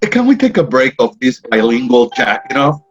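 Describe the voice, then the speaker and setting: A man's voice: medium pitch, very animated, normal speed, husky. Man playing an indignant Puerto Rican man. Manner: indignant, sarcastic. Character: Puerto Rican man raised in New England, indignant, fussy. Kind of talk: play reading. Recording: dull lo-fi audio.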